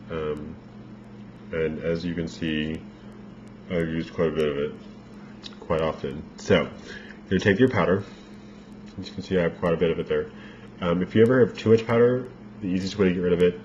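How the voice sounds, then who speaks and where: Deep-voiced man, rambling makeup tutorial. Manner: relaxed, rambling instructions with fillers. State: losing his voice. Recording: dull lo-fi home recording with faint noise.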